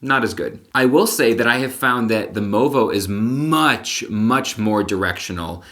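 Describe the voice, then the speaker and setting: A man with a deep voice, vlogging casually to the camera and explaining gear with frequent restarts. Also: a little slow, fairly bright tone. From Connecticut, USA. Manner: chatty, explanatory, with filler restarts. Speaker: vlogger and magician. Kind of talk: casual vlog talk to camera. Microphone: camera-mounted shotgun mic.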